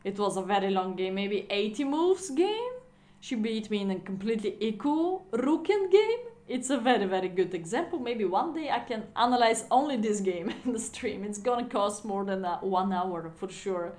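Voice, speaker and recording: A woman's voice: medium pitch, expressive, moderate pace, a little mellow. Chatty woman. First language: Bulgarian. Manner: chatty, laughing, non-native phrasing. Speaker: chess streamer. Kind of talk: casual live-stream commentary. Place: indoor room at home.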